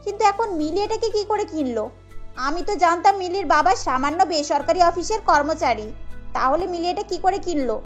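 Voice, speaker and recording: Young female friend, woman performer: indignant show-off, extremely high voice, music underneath. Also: expressive, normal speed. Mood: envious, suspicious. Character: boastful, envious young female friend Tumpa. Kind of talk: cartoon voice acting.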